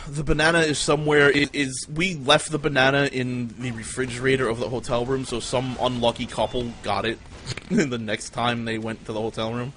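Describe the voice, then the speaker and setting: A man's voice: medium pitch, level tone, normal speed, fairly bright tone. A man improvising tall tales casually as a livestream host, ranting and rambling with plenty of swearing. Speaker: livestream host telling stories. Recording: noisy live stream room. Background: clear background noise.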